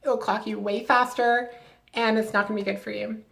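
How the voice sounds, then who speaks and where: A woman's voice: on the high side, level tone, moderate pace, creaky, fairly bright tone. A young adult woman vlogging casually to camera, chatty with lots of filler words and friendly advice.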